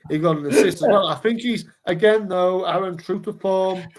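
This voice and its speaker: A man's voice: high voice, normal speed. A man co-hosting a casual football podcast, rambling along with repeated words and fillers. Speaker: podcast co-host.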